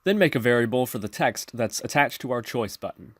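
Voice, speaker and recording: A man narrating a software tutorial in an instructional, step-by-step manner. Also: mid-range voice, animated, a little fast, dry recording, clean studio sound. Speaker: tutorial presenter.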